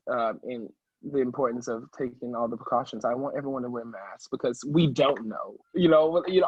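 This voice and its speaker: A man's voice: on the high side, husky, dry recording, highs cut off. A man who is an opera singer talking casually as an interview guest, emphatic and chatty, often checking in with the listener. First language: English.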